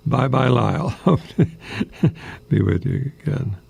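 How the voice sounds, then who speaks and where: An older man hosting a radio monologue, speaking haltingly in a whimsical, self-deprecating way with a husky, gravelly voice. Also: mid-range voice, darkish tone. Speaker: radio host, retired professor. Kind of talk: rambling humorous radio monologue with poetry readings.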